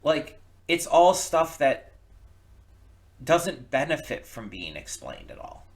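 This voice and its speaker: A man giving casual opinion commentary as a reaction video host, speaking haltingly and often restarting his words. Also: a little high, big pitch swings.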